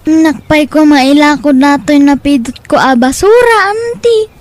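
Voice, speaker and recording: High-pitched, earnest young boy. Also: a little slow. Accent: Ilocano. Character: dutiful, poor young boy who sings and gathers trash. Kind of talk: Ilocano audio drama acting.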